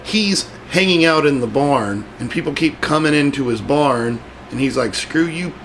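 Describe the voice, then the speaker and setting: A man's voice: on the high side, expressive, normal speed, a bit bright. Male podcast co-host, laid-back rambling talker, light background hiss. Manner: rambling, frequent restarts and fillers. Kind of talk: casual podcast chat.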